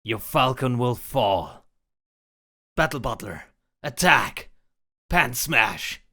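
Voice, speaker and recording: A man's voice: medium pitch, lively intonation, takes it slowly, bright, forceful tone, heavily acted. A man playing a confident, grandiose card duelist in an audio drama, formal and defiant. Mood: commanding. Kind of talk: fan audio drama acting.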